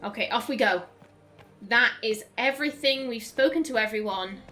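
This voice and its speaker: A woman's voice: on the high side, moderate pace, pushed and bright, soft background music. A young woman chatting through gameplay as a let's play host, giggling and stumbling over her words. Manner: chatty, giggly, self-interrupting. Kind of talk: casual gameplay commentary.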